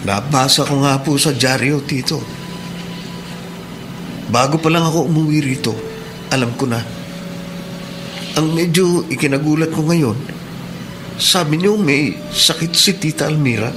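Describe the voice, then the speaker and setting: A man's voice: fairly high pitch, a little slow, pauses often, over music, natural voice. A man playing a respectful young police cadet and concerned nephew in a radio drama, polite and deferential. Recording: noisy radio drama with ambience.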